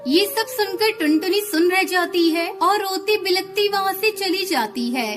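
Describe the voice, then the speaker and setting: A woman's voice: extremely high pitch, unhurried, over music. A woman narrating a children's cartoon tale as scripted storybook narration.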